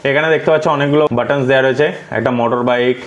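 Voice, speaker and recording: A man presents like a product vlogger. He talks quickly at a medium pitch, in a casual, explanatory way, dropping English words into his sentences. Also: fairly even intonation, highs cut off. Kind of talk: casual hands-on tutorial.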